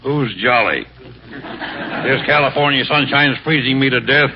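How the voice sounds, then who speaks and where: A man's voice: medium pitch, measured pace, natural voice. Man playing a sour, grumbling sidewalk Santa, noisy recording. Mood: grumpy. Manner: sour deadpan griping, bellowed weary holiday greetings. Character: grumpy, miserable sidewalk Santa, sly henpecked charity collector. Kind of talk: vintage radio comedy acting. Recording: noisy, muffled old radio broadcast.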